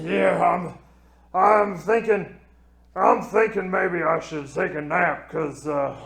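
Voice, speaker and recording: A man voicing a dim, boastful, tipsy Cyclops monster in a slow, self-satisfied way, for a comic story skit. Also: on the high side, creaky, fairly bright tone, voice pushed up, heavily acted. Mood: drowsy, tipsy. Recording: roomy echo.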